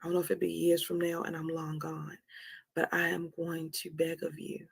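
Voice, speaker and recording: A woman's voice: low voice, completely flat delivery, normal speed, gravelly, slightly rough. A woman in her forties giving a candid motivational testimony as a host, emphatically repeating phrases for effect. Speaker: host of a live talk on anxiety.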